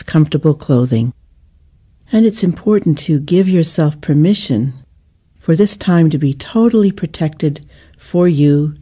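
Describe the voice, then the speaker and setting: Female meditation guide, deeply mellow and relaxed timbre, soothing and measured, leaving gentle pauses.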